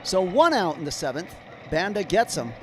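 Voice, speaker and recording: A slightly hoarse man doing live play-by-play commentary of a baseball game, calling each pitch as it happens. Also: very animated, moderate pace, over music. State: slightly hoarse, clearing throat.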